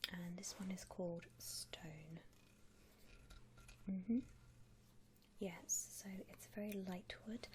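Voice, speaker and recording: Over clear background noise, a woman whispers very slowly, close to the mic, in a breathy ASMR voice. She plays an accommodating shop assistant, gentle and reassuring, with a slightly low pitch for a woman. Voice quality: breathy, mostly unvoiced whisper. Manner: gentle, hesitant, reassuring salesperson patter. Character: polite, accommodating female coffin shop assistant. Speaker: ASMR roleplayer playing a coffin shop saleswoman. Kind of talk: whispered ASMR customer-service roleplay.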